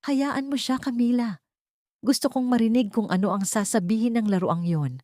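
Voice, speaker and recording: A woman's voice: a little high, fairly even intonation, normal speed, warm tone, no echo, studio quality, pitched above the performer's own voice, lightly acted. A woman voicing a brooding, stern and wounded rich fiancé in an audiobook drama.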